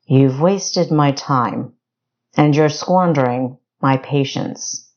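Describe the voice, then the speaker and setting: A cold storybook villain, voiced by a woman, hard and impatient. He speaks formally and slowly, in a low register, with quiet command. The recording is a touch muffled. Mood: impatient, menacing. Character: cold, menacing, impatient male dungeon keeper hunting fugitives.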